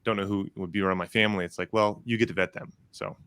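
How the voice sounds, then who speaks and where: A man co-hosting a podcast, chiming in with quick practical tips in a chatty way. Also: sits low, fairly even intonation, bright, forceful tone, clear, resonant tone, no room sound. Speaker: podcast co-host. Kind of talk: casual podcast interview.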